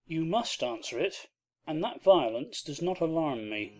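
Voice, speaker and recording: A man playing a proud, sorrowful gentleman husband in a dramatized classic novel, formal, despondent and insistent. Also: on the high side, big pitch swings, a little slow, faint music behind. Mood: despondent, firm.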